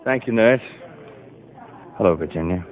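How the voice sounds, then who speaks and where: A man's voice: fairly low pitch, lively intonation, moderate pace, some chatter behind, a little hiss or hum, lightly acted. An actor playing a tender, reassuring husband soothing his wife, in 1950 radio drama style. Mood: careful, tender. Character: gentle, reassuring young husband of a patient. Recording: muffled narrow-band 1950 radio broadcast.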